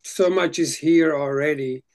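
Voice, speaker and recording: Man, slovak native speaker, casual reading group chat, halting with restarts, slightly high voice. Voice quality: halting, many restarts and fillers.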